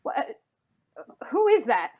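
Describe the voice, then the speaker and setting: A woman playing an anxious, insistent man in old-time radio drama, halting and pleading as the character. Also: high-pitched, huge pitch swings, dull audio, full character voice. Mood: flustered. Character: anxious, insistent man defending his wife.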